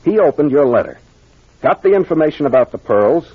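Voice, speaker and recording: An American man playing a confident detective captain in a 1940s radio mystery, explaining things deliberately and with authority. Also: a little high, big pitch swings, husky, heavily acted. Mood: assured, explanatory. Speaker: actor playing the investigator. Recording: muffled old radio recording.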